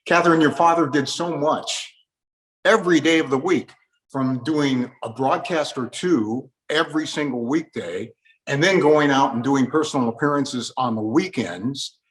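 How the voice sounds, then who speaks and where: A man's voice: mid-range voice, moderate pace, low-quality recording. A man hosting a panel interview, politely putting questions to his guests. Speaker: host interviewer.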